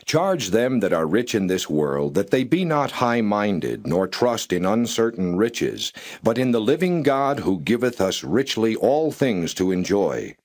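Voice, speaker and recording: A man narrating the Bible as a straight, non-dramatized reading, in formal, measured and solemn phrasing. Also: lowish voice, very animated, a little mellow.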